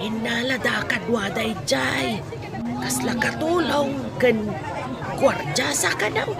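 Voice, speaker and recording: Radio-drama village gossip: high, outspoken voice amid loud bustling ambience. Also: female voice, animated, slow pace, gravelly, loud music behind the voice, a loud crowd, exaggerated voice. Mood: blunt. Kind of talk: Philippine radio drama acting.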